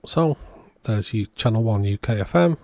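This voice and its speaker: A man's voice: on the low side, lively intonation, moderate pace, darkish tone, no room sound. A man giving a casual, matter-of-fact workbench narration as the host of an electronics repair video.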